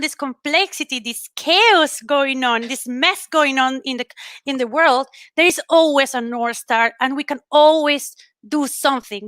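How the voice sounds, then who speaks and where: Bright, high-voiced Venezuelan woman. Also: no room sound. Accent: Venezuelan Spanish. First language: Spanish. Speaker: panel speaker. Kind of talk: personal storytelling in an online talk. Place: indoor room at home, on a video call.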